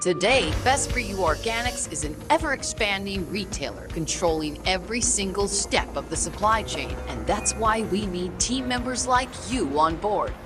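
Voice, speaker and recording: A recast voice playing a brisk woman manager. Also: mid-range voice, animated, pitched above the performer's own voice, natural voice. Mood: upbeat. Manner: brisk, upbeat corporate delivery. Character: confident female company manager addressing new staff. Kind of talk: scripted game cutscene. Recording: music bed under voice.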